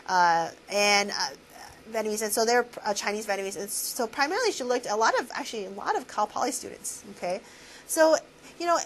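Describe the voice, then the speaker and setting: A woman's voice: a little hiss or hum, low-quality recording. A woman professor giving a recorded lecture, asking students questions and tagging lines with "right?". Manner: animated, full of questions to students and "right?" tags; many fillers and restarts, swinging pitch. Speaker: university professor.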